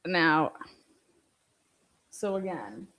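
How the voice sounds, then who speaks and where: A woman's voice: lowish voice, expressive, drawn-out words, a bit bright. A woman giving informal true-crime commentary, reading from a transcript and breaking in with pointed, skeptical asides and rhetorical questions.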